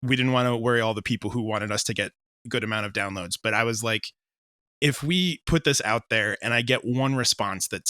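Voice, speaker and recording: A man's voice: lowish voice, pushed and bright, no room sound, clean studio sound. A man giving informal, rambling answers in a casual interview, often interrupting himself as a creator discussing his work. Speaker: audio drama writer and director being interviewed.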